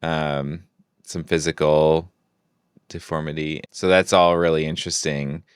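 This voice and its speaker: A man's voice: very deep voice, level tone, unhurried, clear, resonant tone, no echo, clean studio sound. A man co-hosting a podcast, talking casually and thoughtfully, feeling out his phrasing as he goes. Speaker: podcast co-host. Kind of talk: casual discussion.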